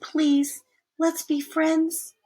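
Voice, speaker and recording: Woman as an earnest, high-pitched little boy. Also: little pitch movement, drawn-out words, mellow. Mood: tender, pleading. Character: tender, eager younger brother, a small boy.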